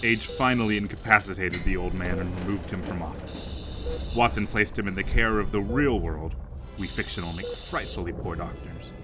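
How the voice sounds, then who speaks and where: A man's voice: sits low, normal speed, over music, lightly acted. An actor playing a scheming, pompous fictional man plotting revenge in an audio drama, with a grandiose, wordy, theatrical delivery. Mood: sly, expository.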